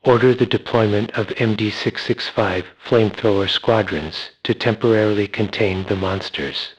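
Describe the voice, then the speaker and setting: A man playing a husky military commander who gives orders in an amateur horror series. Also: fairly low pitch, moderate pace, muffled recording, slight background noise, natural voice. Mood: commanding. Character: male military commander issuing emergency orders. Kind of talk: amateur analog horror dialogue.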